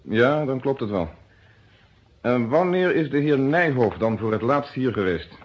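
An actor playing a stern, methodical police inspector in a radio drama, speaking in an insistent, precise and formal way. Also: male voice, mid-range voice, animated, unhurried, a little hiss or hum, close to an everyday voice. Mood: stern, pressing. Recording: dull muffled old radio drama recording.

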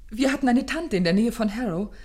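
An actress playing an anxious, grieving young gentlewoman who tells her story formally and intensely in a German radio play. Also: on the high side, lively intonation, fairly quick. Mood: grave, composed. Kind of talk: German radio play acting, dramatic first-person narration.

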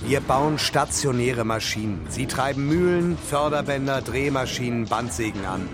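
Dismissive, condescending male factory boss, actor performance, audio drama, medium pitch, very varied delivery. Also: expressive, moderate pace, music in the background, lightly acted. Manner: condescending, emphatic.

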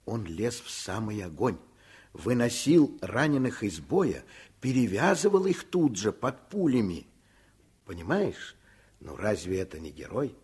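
Animated, husky, emphatic father. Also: on the low side, unhurried, exaggerated voice. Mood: fervent. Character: passionate, affectionate father and doctor explaining to his child. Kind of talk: Soviet radio play acting.

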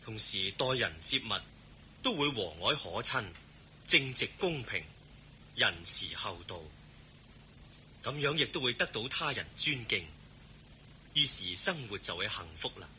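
An actor playing a serene, kindly holy teacher in a Hong Kong Cantonese radio drama, speaking in a composed, gently instructive way. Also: male voice, medium pitch, sing-song, a little slow, pauses often, a little hiss or hum, heavily acted.

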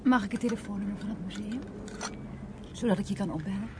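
Soft-spoken woman, acted, noisy recording. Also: medium pitch, steady tone, fast, natural voice. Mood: concerned, curious. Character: restorer's female companion, gentle, attentive, inquisitive. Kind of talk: naturalistic radio play acting.

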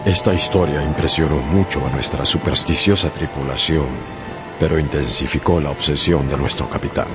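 A seafaring narrator in a radio drama. His extremely deep voice tells the tale gravely, with music running underneath. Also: normal speed. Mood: grave, suspenseful. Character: male sailor narrator recalling a doomed whaling voyage.

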